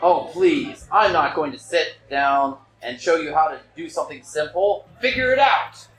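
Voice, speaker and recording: A man's voice: fairly high pitch, lively intonation, normal speed, a bit bright. A man playing a haughty older monopolist in a table reading, condescending, dismissive and easily outraged. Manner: pompous, dismissive, exclaiming. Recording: echoey room with music behind.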